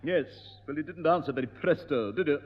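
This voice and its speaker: Pompous piano teacher played by a man, fussy and stern, high-pitched, dull echoey vintage radio. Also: fairly quick, natural voice. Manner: stern, pompous, easily exasperated. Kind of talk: 1960s radio sitcom acting. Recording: dull old radio broadcast with long reverb.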